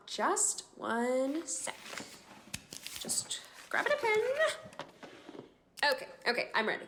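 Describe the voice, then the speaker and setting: A woman playing a brisk, evasive receptionist at a sinister institute in a horror audio drama. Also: on the high side, a bit bright.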